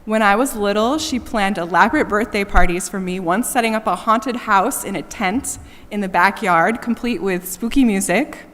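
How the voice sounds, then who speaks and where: A woman's voice: mid-range voice, normal speed, fairly bright tone, a little hiss or hum. Woman giving a eulogy in a reverberant hall. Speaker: eulogist, daughter speaking at a memorial. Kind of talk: prepared eulogy read aloud. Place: large church, speaking to a gathering. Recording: echoey church hall with long reverb.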